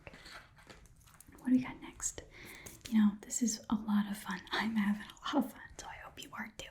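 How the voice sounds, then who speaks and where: A woman voicing a shrewd, chatty goblin shopkeeper in a breathy whisper, rambling and muttering to herself as she haggles in an ASMR roleplay. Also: mid-range voice, steady tone, fairly quick, darkish tone, close to an everyday voice. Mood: appraising, pleased. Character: shrewd, chatty goblin pawn shop keeper.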